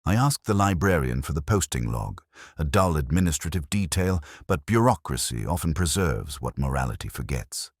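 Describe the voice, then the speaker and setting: A man reading a scripted first-person detective story in a measured, brooding, reflective way. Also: very deep voice, big pitch swings, husky, no echo, clean studio sound. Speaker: narrator.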